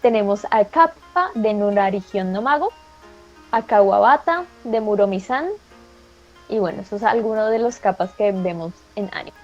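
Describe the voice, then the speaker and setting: A woman with a Colombian accent, co-hosting an anime show and presenting a segment in a lively, playful way. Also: mid-range voice, moderate pace, lo-fi sound, soft background music. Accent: Colombian Spanish. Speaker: co-host of anime talk show.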